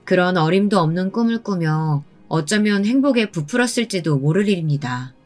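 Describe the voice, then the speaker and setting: Calm woman narrating a story over soft music. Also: on the low side, normal speed. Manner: calm and pointed, recounting with indignation. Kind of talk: scripted first-person story narration. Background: soft background music.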